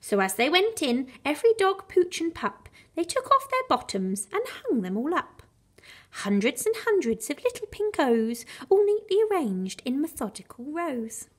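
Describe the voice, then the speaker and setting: Female storyteller, high-pitched, expressive children's bedtime read, animated rhyming delivery with dramatic emphasis. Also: moderate pace. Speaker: primary school teacher reading a bedtime story.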